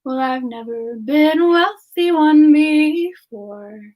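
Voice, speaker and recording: A woman hosting a semi-ASMR question session, chatting casually in a soft-spoken, gentle and affectionate manner. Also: sits high, lively intonation, slow. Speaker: ASMR channel performer hosting a live Q&A in character.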